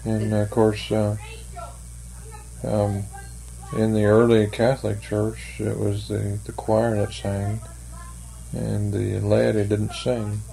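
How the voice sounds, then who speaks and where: Older man, gravelly and flat, slow hesitant talker; faint noise on the tape. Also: lowish voice, low-quality recording. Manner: slow, hesitant, thoughtful, many fillers. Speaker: interviewee, church member. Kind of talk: oral history interview.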